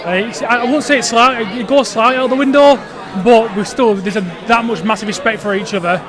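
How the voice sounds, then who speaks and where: A man's voice: very high-pitched, fairly quick. A twenty-two-year-old man from Burnley, an esports player giving confident interview answers with lots of fillers. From Burnley, England. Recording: noisy crowd behind. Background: crowd chatter.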